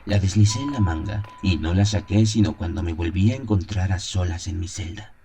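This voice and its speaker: Male narrator for gothic audio fiction: very low, mellow voice, solemn theatrical delivery, music underneath. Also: fairly quick, slight background noise. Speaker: narrator of an audio horror story. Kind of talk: dramatic scripted first-person narration of a gothic tale. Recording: music behind the voice.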